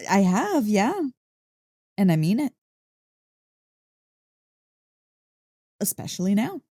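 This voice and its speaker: An excitable, affectionate dog-girl , played by a woman in an ASMR roleplay. Her voice is soft and mellow but swings widely in pitch as she teases and gets flustered. She takes her time, speaking close into a dry mic as if to her partner. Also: dry recording, full character voice. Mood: affectionate, delighted. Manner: playful, expressive, mock-indignant teasing. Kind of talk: ASMR roleplay, intimate one-sided dialogue. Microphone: close, dry microphone.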